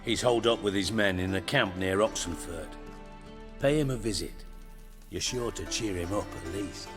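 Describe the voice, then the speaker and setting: An actor playing a shrewd underworld fixer in a video game, speaking in a measured, sardonic manner. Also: male voice, low voice, music in the background, lightly acted. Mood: wry, shrewd.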